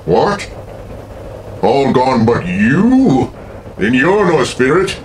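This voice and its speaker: A man's voice: mid-range voice, very animated, drawn-out words, distant mic. A man voicing an imperious, menacing ghoul sorcerer in grandiose, commanding radio horror style. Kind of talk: old-time radio horror acting. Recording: noisy, off-mic recording.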